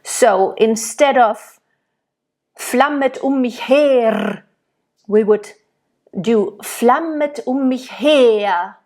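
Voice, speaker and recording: A woman from Germany, a vocal coach explaining diction in a slow, deliberate lesson. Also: a little high, darkish tone.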